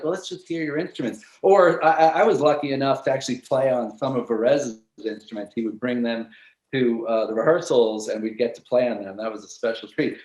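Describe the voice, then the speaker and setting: Guy musician guest on a panel, roomy echo, average pitch, rambles on keenly and keeps rewording stuff. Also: darkish tone. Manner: rambling, enthusiastic, often rephrasing. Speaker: guest percussionist. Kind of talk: informal panel discussion. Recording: roomy, echoey sound.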